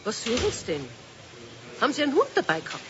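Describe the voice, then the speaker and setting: A woman's voice: on the high side, huge pitch swings, fast talker, slight background noise. A woman playing a curt, indignant waitress in a children's radio play. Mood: puzzled, brusque. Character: brusque, indignant young waitress. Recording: dull radio recording, crowd behind.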